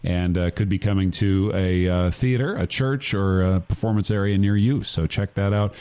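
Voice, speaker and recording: A man with a fairly low, soft-edged voice hosts a radio interview. His tone is dark and relaxed as he chats with the guest and addresses listeners. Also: moderate pace, clear, resonant tone. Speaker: radio show host. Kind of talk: upbeat interview chat with promotional wrap-up.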